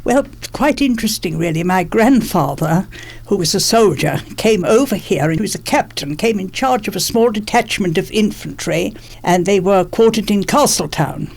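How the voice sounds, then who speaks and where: A woman's voice: mid-range voice, lively intonation, moderate pace, gravelly, darkish tone. An eighty-one-year-old woman telling family stories in an interview, articulate and rather formal in her phrasing. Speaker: interviewee, former politician. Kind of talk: storytelling reminiscence in an interview.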